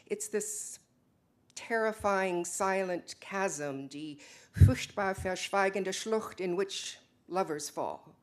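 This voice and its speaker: A woman's voice: mid-range voice, takes it slowly, close to an everyday voice. A woman performs a defiant wife and translator in a stage drama. She is intense and impassioned, speaking poetry and argument alike with dramatic weight. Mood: hushed awe.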